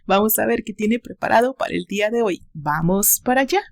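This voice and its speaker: A woman's voice: mid-range voice, expressive, fairly quick, vocal fry. A woman with a Mexican accent, hosting and narrating a romance story in a dramatic reading style. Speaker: audiobook narrator and channel host.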